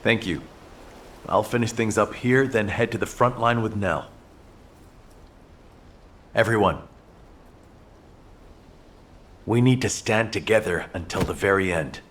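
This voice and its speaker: Low, solemn male game hero, voiced by an actor. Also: a bit bright, natural voice. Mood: resolute. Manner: solemn, deliberate speech-making. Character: earnest, remorseful male resistance leader planning a rescue. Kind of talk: dramatic video game voice acting.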